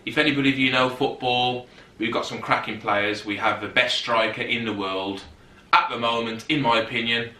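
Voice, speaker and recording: A man's voice: mid-range voice, fairly even intonation, normal speed, bright, forceful tone, roomy sound, recorded from a distance, low-quality recording. A man, chatting casually to camera from his settee and often rambling off topic as a fitness vlogger. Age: 30s. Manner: chatty, rambling, goes off on tangents. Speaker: fitness YouTuber. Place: sitting on a settee at home.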